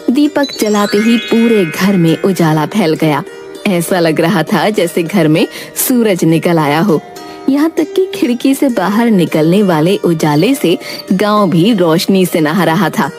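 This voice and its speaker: A woman's voice: lowish voice, expressive, a little slow, a little mellow, faint music behind. A woman narrator reading a scripted fairy tale as a storyteller.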